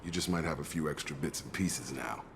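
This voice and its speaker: A low-pitched American soldier, loyal and steady, talking in a matter-of-fact, informal way. It is game dialogue with clear background noise. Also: male voice, brisk. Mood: matter-of-fact, explaining. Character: steady, loyal male soldier and station security chief.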